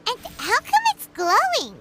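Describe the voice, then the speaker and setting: A woman voicing a tiny, childlike, inquisitive fairy companion in a video game, squeaky and exclamatory. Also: wildly swooping pitch, a little slow, bright, forceful tone, slight background noise, full character voice, poor audio. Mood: puzzled. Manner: exclamatory, speaks of self in third person.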